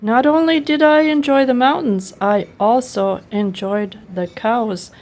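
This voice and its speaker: A woman's voice: fairly high pitch, fairly even intonation, measured pace, darkish tone. A woman narrating her personal travel vlog in an enthusiastic, appreciative way. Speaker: travel vlogger.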